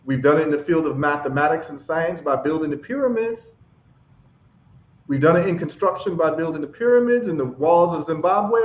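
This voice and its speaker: A man from Ghana, a guest in a casual chat, talking with passion and repeating phrases for emphasis. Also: on the high side. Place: live stream call. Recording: echoey, dull lo-fi stream audio.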